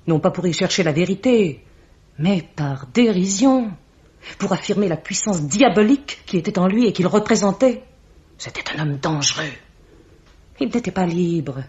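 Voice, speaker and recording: An actress playing a haughty mystical bookseller in a French radio mystery, solemn, theatrical and full of contempt. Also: female voice, fairly low pitch, darkish tone. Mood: mystical, grave, then ominous. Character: haughty mystical bookseller woman, accusing and ominous. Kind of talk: French 1950s-style radio mystery acting. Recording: dull vintage radio-drama recording.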